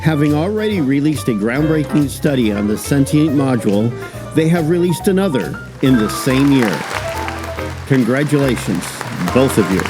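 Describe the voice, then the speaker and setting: An actor playing a formal, congratulatory awards ceremony announcer in an audio drama. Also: male voice, medium pitch, animated, moderate pace, close to an everyday voice. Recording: music and crowd behind.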